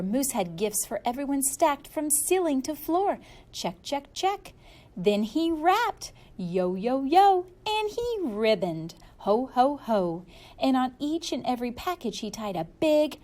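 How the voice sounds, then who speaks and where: Female storybook reader, very lively intonation, slow and playful delivery for young listeners. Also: high voice. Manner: animated, playful, drawn-out emphasis. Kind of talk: expressive children's story read-aloud.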